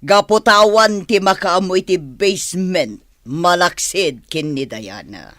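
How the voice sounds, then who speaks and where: A woman's voice: fairly low pitch, slow pace, fairly bright tone, no echo, clean studio sound. A woman playing an anxious, scheming wife eager to flee abroad, in a dramatic radio drama style.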